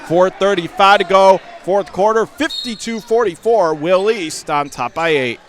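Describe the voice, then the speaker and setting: A man doing radio play-by-play of a basketball game in clipped, running phrases with bursts of excitement. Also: high voice, a little slow. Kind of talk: live basketball commentary. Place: indoor high school basketball gym.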